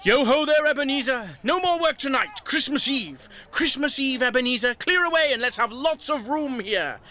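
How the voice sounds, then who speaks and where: A jolly old master for radio drama, exuberant and calling out. His voice is very high and bright, swooping with festive cheer. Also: lively intonation, moderate pace, full character voice. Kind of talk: radio play acting.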